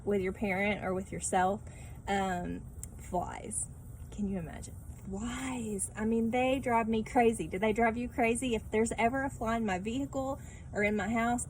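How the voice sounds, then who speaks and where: A woman speaks to children in a casual, conversational teaching style. Her voice sits at a middle pitch, and she often ends thoughts with short questions. Faint ambient noise runs behind her. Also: darkish tone, low-quality recording. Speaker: children's Bible class teacher. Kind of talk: casual read-aloud and lesson talk for children.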